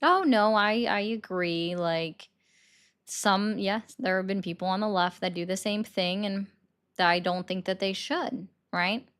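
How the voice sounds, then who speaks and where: A woman in her forties hosting a casual call-in livestream, talking briskly and directly with callers. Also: mid-range voice, steady tone, fairly bright tone, smooth.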